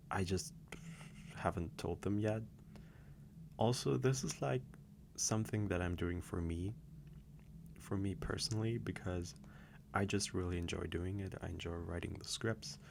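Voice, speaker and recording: A man's voice: deep voice, creaky, background noise. A man chatting casually through viewer questions, rambling and hesitant with many restarts. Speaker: audio creator answering viewer questions. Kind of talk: casual Q&A monologue.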